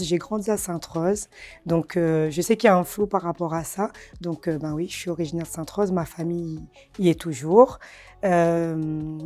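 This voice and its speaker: A woman, answering interview questions casually and hesitantly, with many fillers and soft laughs. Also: lowish voice. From Réunion. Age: 30s. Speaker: karate champion guest.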